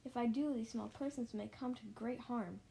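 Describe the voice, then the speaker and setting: Storybook elephant played by a female reader: male, sincere, protective, emphatic, slightly high voice, faint noise. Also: normal speed, creaky, warm tone. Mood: worried, resolute. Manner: earnest, emphatic, polite.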